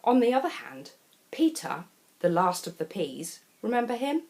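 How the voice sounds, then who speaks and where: Woman, children's storyteller, very lively swooping intonation, theatrical, chatty asides. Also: medium pitch, moderate pace. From England. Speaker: storyteller reading a children's picture book. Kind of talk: expressive children's storybook narration.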